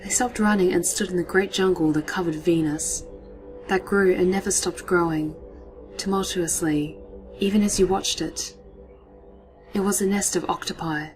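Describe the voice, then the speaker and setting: A woman reads a short story in a low, hushed voice, often sinking to a near whisper. Her pacing is dramatic and unhurried. Soft music plays faintly behind her. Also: rough recording.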